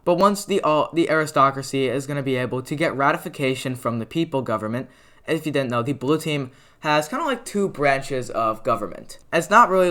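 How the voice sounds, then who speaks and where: Guy narrating his map video, voice kinda raspy from talking, says like and uh a lot, mid pitch. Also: fairly bright tone. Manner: rambling, filler-heavy, lots of "like" and "uh". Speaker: mapping video creator. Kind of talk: casual commentary over a map animation.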